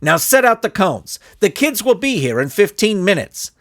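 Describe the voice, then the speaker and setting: A man's voice: high voice, big pitch swings, moderate pace, fairly bright tone, no echo, studio quality, voice pushed up, heavily acted. A man voicing an exasperated, overbearing young soccer coach in a storybook, bossy and emphatic.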